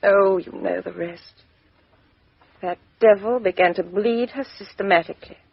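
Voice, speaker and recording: Proud english young lady, bitter n emotional, bit husky, muffled old radio drama sound. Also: medium pitch, normal speed, no room sound. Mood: bitter, grieving. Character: proud, defiant, bitter young English woman avenging her friend. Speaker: actress playing a suspect. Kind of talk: radio mystery drama acting. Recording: dull, dry lo-fi radio recording.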